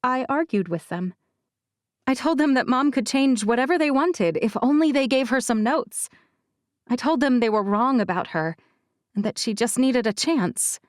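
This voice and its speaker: A woman voicing a guarded, self-effacing young actress in an audiobook, telling her story in a measured, confiding way. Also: a little high, animated, dry recording, clean studio sound, natural voice. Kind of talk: audiobook character dialogue.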